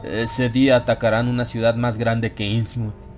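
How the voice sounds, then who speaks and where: A man's voice: mid-range voice, little pitch movement, normal speed, a little mellow, faint music behind, natural voice. A man playing a haunted narrator slipping into exalted, fanatical madness in a solemn, theatrical horror audiobook. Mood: solemn, ominous. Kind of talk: dramatic first-person horror audiobook narration.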